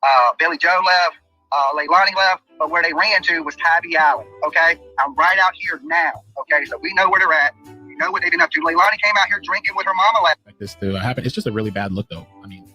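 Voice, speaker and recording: A man giving fast, conversational on-location commentary in a true crime vlog, recorded outdoors. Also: fairly high pitch, expressive, bright, forceful tone, slightly rough, faint music behind. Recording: lo-fi clip played over a call.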